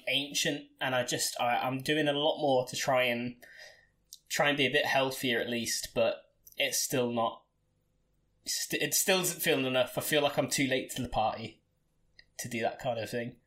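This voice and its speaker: A man's voice: mid-range voice, moderate pace, fairly bright tone. Man in his twenties, chatty. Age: 20s. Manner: chatty, laughs often, many restarts. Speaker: podcast host. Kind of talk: casual podcast chat. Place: bedroom.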